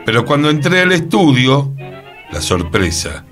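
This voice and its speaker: A man's voice: mid-range voice, big pitch swings, measured pace, fairly bright tone, faint music behind. A man acting in radio theatre as an earnest, mysterious co-host, with a Buenos Aires accent and a theatrical, suspenseful delivery. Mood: earnest, explaining. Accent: Argentine, Buenos Aires. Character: earnest, mysterious male co-host recounting strange events.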